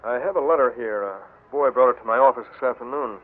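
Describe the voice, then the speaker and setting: A man's voice: mid-range voice, fast talker, lightly acted. A man playing a curt, guarded western man. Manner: curt, clipped replies. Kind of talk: 1940s radio acting. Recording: tinny old radio broadcast.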